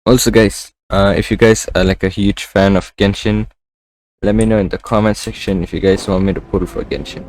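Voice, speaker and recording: A man's voice: lowish voice, steady tone, a little fast, darkish tone, poor audio. A man streaming a video game, giving casual live commentary as he plays. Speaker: gaming streamer.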